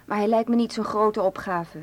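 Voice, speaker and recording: An actress playing a callous, impatient conspirator in a radio drama, cool and matter-of-fact. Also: female voice, mid-range voice, fairly even intonation, normal speed, lightly acted. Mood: cold, impatient.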